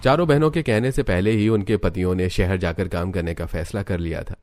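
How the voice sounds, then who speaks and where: A man's voice: low-pitched, a little fast, darkish tone. A man narrating a scripted cartoon story as the storyteller.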